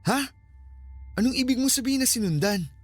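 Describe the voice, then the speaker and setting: A man's voice: very high-pitched, animated, fairly quick, no echo, soft background music. A Filipino man playing a young horror vlogger, a dismissive but caring boyfriend, in a radio drama. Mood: teasing, reassuring.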